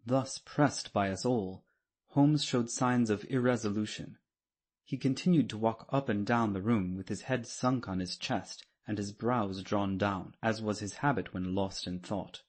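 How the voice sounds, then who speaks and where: Male narrator for classic fiction: formal, measured literary read, fairly deep, rough-edged tone. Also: darkish tone. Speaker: audiobook narrator. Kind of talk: scripted dramatic literary narration.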